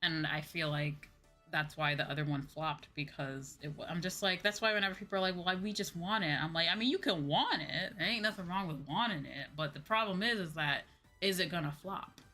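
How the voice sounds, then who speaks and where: A woman's voice: on the low side, a little fast, sharp tone. A woman livestreamer chatting casually while she games, talking in a colloquial, slangy and mildly exasperated way. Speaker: VTuber streamer. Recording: music playing behind the voice. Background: background music.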